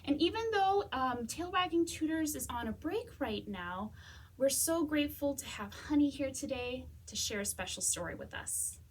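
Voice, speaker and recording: A woman's voice: high-pitched, animated, normal speed, a little hiss or hum. A woman hosting a children's storytime, reading aloud expressively and speaking to young listeners. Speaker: library storytime host.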